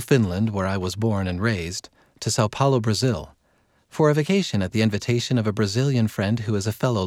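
Low-voiced man reading scripted narration. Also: a little mellow, no room sound, studio quality. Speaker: narrator reading a magazine article.

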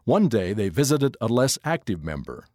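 Man narrating with lively intonation. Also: medium pitch, normal speed, no room sound, studio quality. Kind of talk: scripted narration of a magazine article.

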